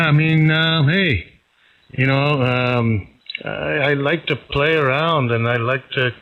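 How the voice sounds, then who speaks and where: Radio hobbyist: man, middle pitch, hesitant casual talk, dull band-limited transmission. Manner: hesitant, halting, thinking aloud. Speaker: amateur radio operator. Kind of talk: casual ham radio chat.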